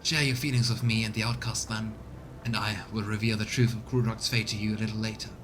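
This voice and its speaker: A man voicing a gentle, courtly alien elf seer from a game, with measured, formal, archaic speech. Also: fairly low pitch, steady tone, background noise, natural voice. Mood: serene. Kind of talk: voiced reading of game dialogue. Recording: music behind the voice.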